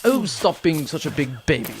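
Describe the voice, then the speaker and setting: A man's voice: on the high side, sing-song, moderate pace. A man playing a cocky, flirtatious, oblivious space captain, bombastic and self-assured, in a comic sci-fi audio drama. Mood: bossy, confident.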